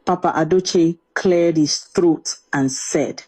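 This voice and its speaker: A woman's voice: fairly low pitch, takes it slowly, a little mellow, breathy edge. A woman narrating a scripted folktale as a dramatic storyteller.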